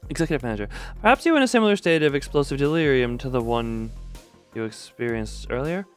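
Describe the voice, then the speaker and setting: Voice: medium pitch, expressive, fairly quick, faint music behind. A game stream host chatting casually and rambling while reacting to chat. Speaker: livestream host.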